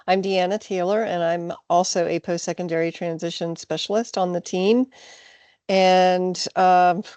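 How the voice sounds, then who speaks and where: Smooth-voiced woman, dry close sound. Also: fairly low pitch, steady tone, a little slow, darkish tone, no echo. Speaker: transition specialist. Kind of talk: casual introduction. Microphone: video call.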